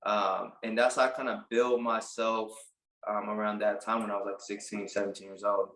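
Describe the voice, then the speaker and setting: A man in his late twenties from Puerto Rico, a barber telling his story in a casual interview, relaxed and halting with many fillers. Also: lowish voice, roomy sound, rough recording. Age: late 20s. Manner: relaxed, halting, lots of "like" and restarts. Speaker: barber and business owner, guest.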